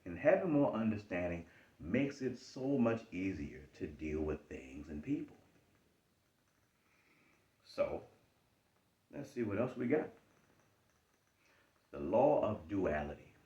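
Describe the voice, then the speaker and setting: A man's voice: reverberant, lo-fi sound. A man from Charleston with a Charleston Geechee accent, giving an emphatic, repetitive motivational talk. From Charleston, South Carolina. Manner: emphatic, repetitive, lively swings in pitch. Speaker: self-help speaker.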